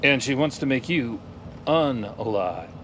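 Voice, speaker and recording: An actor playing a curious, dry sidekick who delivers deadpan quips in a comedic audio drama. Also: male voice, mid-range voice, unhurried, natural voice. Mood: dry, inquisitive. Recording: loud humming vehicle noise.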